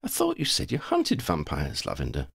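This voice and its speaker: A man's voice: a bass voice, wildly swooping pitch, normal speed, gravelly, a bit bright, slightly rough, heavily acted. A man voicing an earnest, emotional young woman, affectionate and full of questions, in an audiobook. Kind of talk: audiobook character voice.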